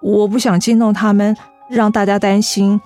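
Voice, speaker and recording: Actress as sweet, soft-spoken young office woman, music behind. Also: medium pitch, flat delivery, moderate pace, lightly acted. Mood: quietly sincere. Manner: polite, soft-spoken, evasive. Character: sweet young female office worker hiding her illness. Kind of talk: radio drama acting.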